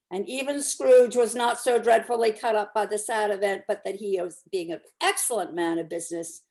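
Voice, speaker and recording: A woman reading a classic story aloud as narrator, in an emphatic, deliberate dramatic style. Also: a little high, darkish tone. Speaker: narrator, community volunteer reader.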